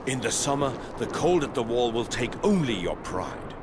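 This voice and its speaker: An actor playing a crude, earthy older veteran patrolman in a fantasy game cutscene, grumbling in a creaky voice. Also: mid-range voice, very animated, normal speed, background noise, natural voice. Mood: bawdy, lecturing.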